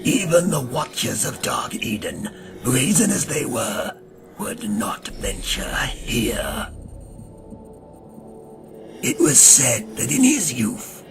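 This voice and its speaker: A man playing a grim, ancient vampire hunter who narrates a gothic audio drama in a solemn, ornate and gravelly manner. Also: mid-range voice, heavily acted. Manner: solemn, ornate, deliberate delivery. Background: dramatic music.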